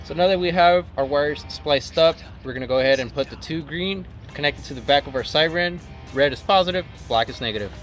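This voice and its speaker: Male how-to presenter, slightly high voice, informal and instructional, clear music bed behind him. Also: brisk, hardly any pauses, a bit bright. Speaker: product installation presenter. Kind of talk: casual step-by-step how-to tutorial.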